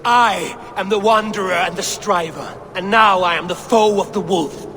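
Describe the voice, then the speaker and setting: An actor playing a wrathful Norse god in a video game, speaking in an imperious, suspicious, declamatory way. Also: male voice, sits high, moderate pace, background noise, lightly acted. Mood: solemn, defiant. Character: wrathful, commanding Norse god, male wanderer and warrior. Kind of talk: video game cutscene acting.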